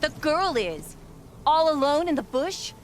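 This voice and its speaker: A woman's voice: sits high, very animated, a little slow, slight background noise. An actress playing a blunt, worried woman from a caravan in a video game, scolding in a plain tone.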